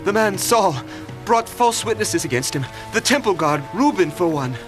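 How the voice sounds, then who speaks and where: A distraught, earnest bearer of bad news in a biblical audio drama. His voice is high for a man and gravely halting, with faint music underneath. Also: expressive. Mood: shaken, grieving.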